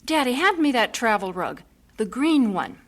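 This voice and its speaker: A woman's voice: fairly high pitch, sing-song, normal speed. An actress playing an earnest, heartfelt young woman missionary about to sail overseas in a vintage radio drama. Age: young adult.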